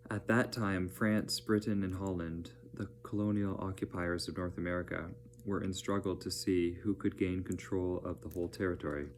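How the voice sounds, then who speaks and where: A man reading educational narration aloud as a teacher, in a measured, plain-spoken manner. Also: low-pitched, steady tone, a little hiss or hum.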